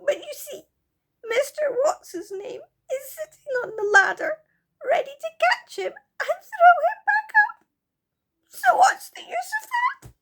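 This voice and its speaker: Squeaky, sobbing little fairy girl. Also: lively intonation, measured pace, fairly bright tone, full character voice. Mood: tearful, worried. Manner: sobbing, wailing delivery. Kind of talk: children's storybook character voice.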